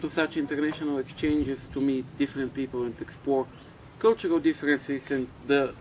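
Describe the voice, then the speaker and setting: A man from Bulgaria, a project coordinator, answering interview questions in a measured, reflective way. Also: mid-range voice, steady tone, darkish tone, slight background noise, poor audio.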